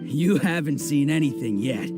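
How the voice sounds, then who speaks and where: A man's voice: fairly high pitch, expressive, moderate pace, close to an everyday voice. A man playing a boastful, grandiose bandit gang leader in a video game. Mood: swaggering. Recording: loud music under the voice. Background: loud game music.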